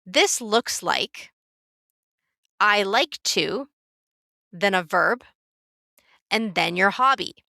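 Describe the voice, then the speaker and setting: A woman teaching a beginner English lesson in a careful, clear, scripted way. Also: mid-range voice, lively intonation, extremely slow, pauses often, sharp tone, no echo, clean studio sound. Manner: clear, careful, instructive delivery.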